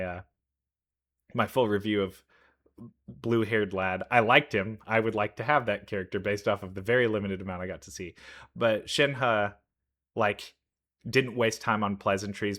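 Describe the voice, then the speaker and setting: A man's voice: lowish voice, fairly bright tone. A man giving casual game reaction commentary, musing and thinking aloud as he goes. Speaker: reaction video host.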